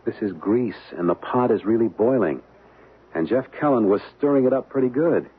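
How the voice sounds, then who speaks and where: An actor playing a wary, easygoing young American husband in a radio drama, speaking in slangy, hesitant phrases. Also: male voice, mid-range voice, animated, normal speed, breathy edge. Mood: cautious, resisting. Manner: slangy, hesitant, repeating words. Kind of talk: old-time radio drama acting. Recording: tinny, band-limited vintage radio, hissy.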